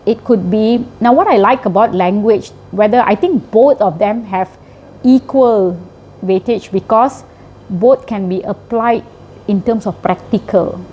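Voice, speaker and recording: Malaysian woman teacher, mellow voice. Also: medium pitch, a little slow, slightly rough, low-quality recording. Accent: Malaysian English. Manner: explanatory, often ends with a tag question. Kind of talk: interview answers.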